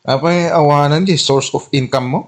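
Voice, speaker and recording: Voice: mid-range voice, moderate pace, lightly acted. A performer playing a teasing, nosy neighbour with blunt advice and an Ilocano accent in a radio comedy drama. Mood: mocking.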